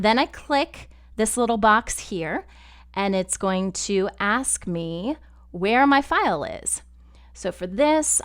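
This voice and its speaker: Female tutorial presenter, smooth and clear, mid pitch, conversational walk-through delivery. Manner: conversational, step-by-step. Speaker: podcast network instructor. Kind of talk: screen-share software tutorial, explanatory.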